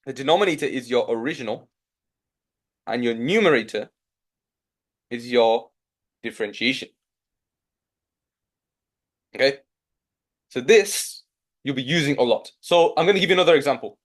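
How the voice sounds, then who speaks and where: A young man with a Yorkshire accent teaching a live online maths lesson, coaxing his students along with steady encouragement. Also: medium pitch, normal speed, pauses often. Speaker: maths tutor.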